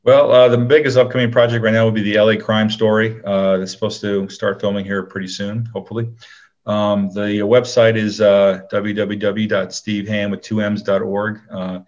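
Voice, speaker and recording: A man's voice: fairly low pitch, level tone, normal speed, a little mellow. A man giving casual, modest and earnest answers as an interview guest. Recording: dull lo-fi line.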